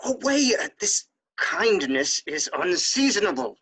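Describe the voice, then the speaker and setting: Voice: medium pitch, very animated, slow pace, a bit bright, husky, heavily acted. A performer playing a proud, domineering young nobleman and stern brother in a period comedy, speaking formally. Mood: imperious. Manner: formal, imperious. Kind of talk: theatrical Restoration play acting.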